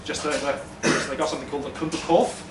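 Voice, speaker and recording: A man's voice: a little high, steady tone, fast talker. A man in his thirties from Denmark telling a personal story to a live audience, chatty and self-deprecating with many ums. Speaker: storytelling event speaker. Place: small brick-walled event room, indoors. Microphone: far room mic. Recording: echoey room, distant mic, noisy.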